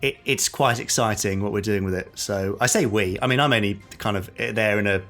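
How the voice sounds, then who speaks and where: A man's voice: lowish voice, fairly quick, a bit bright. A man co-hosting a podcast, casually chatting through news items. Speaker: podcast co-host.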